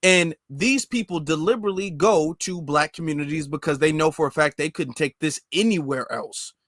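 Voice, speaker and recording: A man's voice: fairly high pitch, normal speed, fairly bright tone, no room sound, clean studio sound. An American man giving impassioned political commentary as a host, emphatic and repetitive, with rhetorical questions.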